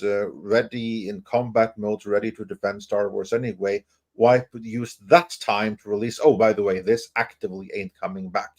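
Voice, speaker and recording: Man hosting an opinionated panel discussion. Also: fairly low pitch. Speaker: panel host.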